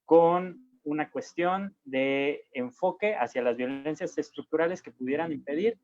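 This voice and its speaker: A man's voice: on the high side, measured pace, a little mellow, highs cut off. A young man speaking as a panelist in an online discussion, hesitant, with many fillers and restarts. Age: young adult. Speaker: panelist, young political activist. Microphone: video call.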